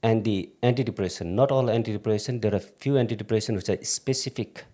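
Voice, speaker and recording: Low, mellow-voiced man, psychiatrist guest. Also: fast. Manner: choppy short phrases, repeats for emphasis, checks in with "yeah?". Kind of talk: conversational explanation in an interview.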